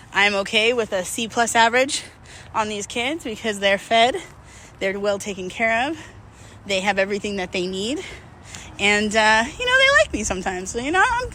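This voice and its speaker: Female vlogger, bright forward voice, candid rambling pep talk, recorded outdoors with faint street noise. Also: on the high side. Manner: candid, rambling, self-deprecating; talking while out walking. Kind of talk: casual motivational chat to followers. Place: outdoors, on a walk. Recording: outdoor background noise, urban traffic ambience.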